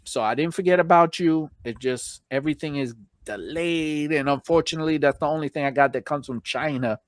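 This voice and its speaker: A man hosting a casual live stream, chatting informally with slangy shout-outs to viewers, his voice slightly hoarse from long talking. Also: a little high, a little fast, no echo, studio quality.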